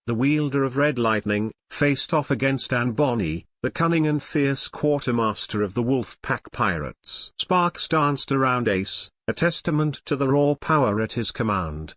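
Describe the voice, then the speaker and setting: A man's voice: mid-range voice, a bit bright, no room sound, studio quality. A man narrating an audio drama in a scripted, dramatic storytelling style.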